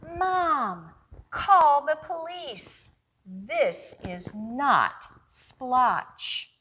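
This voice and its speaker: Woman voicing an anxious little boy, high and slow. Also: animated, pushed and bright, slightly rough, heavily acted. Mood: alarmed. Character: anxious, earnest young boy searching for his pet. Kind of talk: children's picture-book read-aloud.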